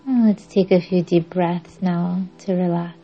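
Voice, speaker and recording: A woman guiding a yoga nidra meditation in a measured, soothing, instructing way with long pauses. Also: lowish voice, steady tone, warm tone. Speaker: meditation podcast host and guide. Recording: dull lo-fi audio under music. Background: music.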